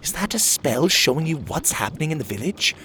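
A man's voice: a little high, very animated, brisk, bright, forceful tone, faint music behind, pitched above the performer's own voice. A man voicing a curious, eager young male adventurer in a storybook, asking wide-eyed, astonished questions. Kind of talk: storybook character voice.

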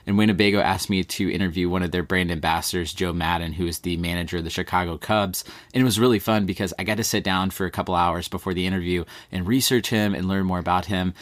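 A man from East Texas with an East Texas accent, talking in a relaxed, rambling way as a podcast host being interviewed. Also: sits low, quick pace, hardly any pauses, no room sound. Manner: relaxed, rambling, many fillers like "like". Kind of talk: casual conversational interview.

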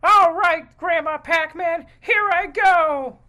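Squeaky high little boy hero voice, super earnest and over the top, parody trailer stuff. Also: slow, pushed and bright, full character voice. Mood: eager, determined. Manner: exclamatory, earnest. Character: eager young boy hero Pac-Man, earnest and melodramatic. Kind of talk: parody movie trailer acting.

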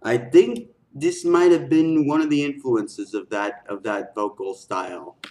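A man hosting a music reaction video, giving casual, excited commentary in slangy, exclamatory bursts and often repeating his phrases. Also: medium pitch, a little slow, a little hiss or hum. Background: loud music playing.

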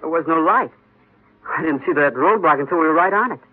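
An American actor playing an easygoing but cautious young office assistant in an old radio drama. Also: male voice, on the high side, animated, fairly quick. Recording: tinny old radio recording.

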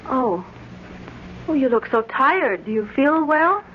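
On a dull vintage radio recording, a devoted wife, clinging and easily worried. Her high voice rises and falls dramatically in old 1940s melodrama fashion. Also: very animated, takes it slowly, breathy edge, exaggerated voice. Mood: concerned. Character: devoted, anxious, clinging wife, emotional and trusting. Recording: muffled, noisy old radio broadcast recording.

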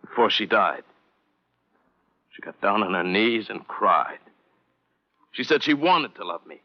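Unhinged, menacing killer, voice swinging wildly. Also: male voice, on the low side, big pitch swings, measured pace, full character voice. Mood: anguished, obsessive. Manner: intense, goading, obsessive. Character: menacing, unhinged murderer confessing his crime. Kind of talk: old-time radio drama acting. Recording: muffled vintage radio recording.

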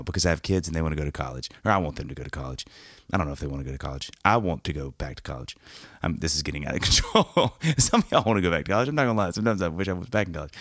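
A man's voice: extremely low pitch, a bit bright, no room sound, clean studio sound. A man hosting a podcast in a casual, rambling, jokey way, sounding a bit scratchy from a cold. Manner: rambling, jokey, self-mocking asides. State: has a cold, slightly scratchy. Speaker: podcast host. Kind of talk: casual monologue intro.

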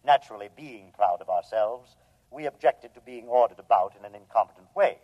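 An actor playing a calm, superior humanoid robot in an old radio drama, with formal, precise, matter-of-fact speech. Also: male voice, medium pitch, brisk, lightly acted. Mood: coolly menacing. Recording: dull, lo-fi old radio recording.

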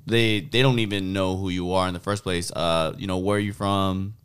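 Brief: Hawaii-raised co-host, easygoing interviewer, fairly low voice. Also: male voice, fairly even intonation, normal speed, smooth. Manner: laid-back, interviewing. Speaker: podcast co-host.

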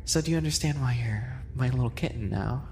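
A man voicing a composed, sadistic animal-boy cafe maid and cook in a roleplay ASMR, measured and softly menacing. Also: mid-range voice, creaky, close to an everyday voice. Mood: soft menace. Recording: reverberant, noticeable background noise.

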